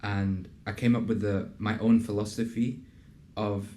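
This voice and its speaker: A young man hosting a casual interview and pitching his program in an earnest, conversational way, with plenty of fillers. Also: level tone, a little slow, warm tone. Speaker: host and program founder. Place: in a building in a city business district.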